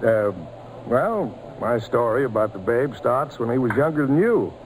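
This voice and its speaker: A man's voice: mid-range voice, expressive, moderate pace, lightly acted. A man playing a friendly, folksy baseball fan telling tales to a boy in a vintage radio drama. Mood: nostalgic storytelling. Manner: folksy, chuckling, paternal. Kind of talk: 1940s radio acting, storytelling. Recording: old noisy radio broadcast recording.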